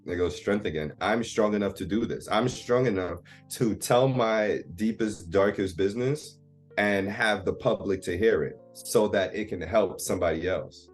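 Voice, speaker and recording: A man's voice: fairly low pitch, normal speed, faint music behind. A man in his thirties from Jamaica, talking candidly and confidently about himself in a casual therapy conversation. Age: 30s. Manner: candid, self-assured, open. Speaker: therapy client guest.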